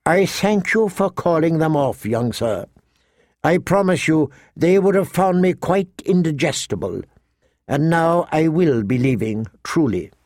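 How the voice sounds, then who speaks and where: A man's voice: a little high, lively intonation, measured pace, darkish tone, no room sound, pitched above the performer's own voice. Man voicing a sardonic, dignified little dwarf lord. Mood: dry, unruffled. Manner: dry, wry, formal speech. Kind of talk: audiobook character acting.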